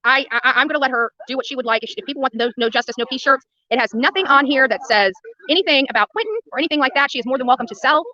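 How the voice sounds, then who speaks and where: A woman's voice: sits high, rapid-fire, few pauses, pushed and bright, lo-fi sound. An American woman ranting to livestream viewers in a blunt, combative way, repeating phrases for emphasis. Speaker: livestream host and protest activist.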